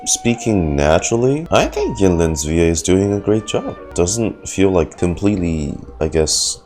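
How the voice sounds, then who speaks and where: A woman's voice: very deep voice, big pitch swings, normal speed, dark tone. A woman doing casual, playful live reaction commentary on a video game, slangy and prone to swearing. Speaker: gaming reaction streamer. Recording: game music behind the voice.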